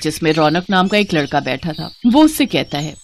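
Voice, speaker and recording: A woman's voice: low voice, big pitch swings, moderate pace, slight background noise. A woman storyteller reading a scripted narration of a moral tale.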